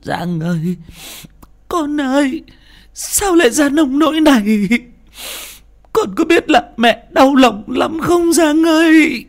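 A grief-stricken old mother, as a man puts her on, cries and begs in a high, cracking voice that swoops all over the place. The pitch leaps far above the storyteller's own voice. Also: animated, slow, gravelly, exaggerated voice. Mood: pleading. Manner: wailing, pleading, high strained voice. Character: desperate weeping old mother.

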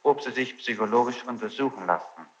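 A man's voice: mid-range voice, brisk. A man formally questioning a witness as a legal official, insistent and precise. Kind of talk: formal courtroom cross-examination. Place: courtroom. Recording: reverberant, muffled, noisy archival courtroom tape.

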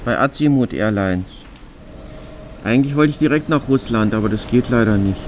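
A man with a medium-pitched voice chats casually as a traveler. He is a little hesitant and restarts phrases, recorded on location with clear ambient hum behind him. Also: normal speed. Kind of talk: casual chat. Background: ambient vehicle or ventilation hum.

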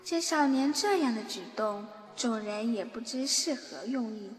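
A woman's voice: a little high, expressive, measured pace. A woman reading scripted narration for a fantasy radio drama. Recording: long reverb, music underneath. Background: background music.

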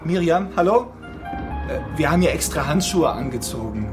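A man's voice: fairly high pitch, expressive, normal speed, over music, natural voice. A man acting in a naturalistic radio drama as a sentimental, rambling character who coaxes and tells stories to a child. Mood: storytelling. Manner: coaxing, hesitant, storytelling. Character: rambling, sentimental man talking to a little girl.

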